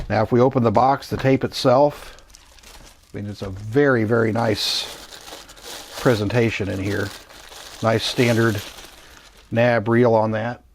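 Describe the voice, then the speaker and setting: A man's voice: medium pitch, darkish tone. Man casually demonstrating vintage audio gear. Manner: informal, chatty, talks through each step. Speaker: host of a vintage electronics channel.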